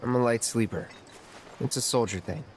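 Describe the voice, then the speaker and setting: An actor playing a reserved, stoic young soldier in a video game drama, terse and understated. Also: male voice, mid-range voice, normal speed, slight background noise, close to an everyday voice. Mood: guarded, quiet.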